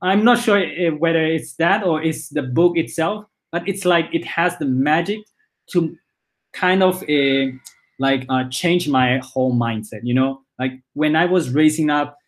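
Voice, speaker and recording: A man in his twenties, born in China, with a Spanish accent and Chinese as his first language, speaks as a startup founder in a casual interview, halting often with repeated words and fillers. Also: on the high side. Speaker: startup founder and CEO, guest.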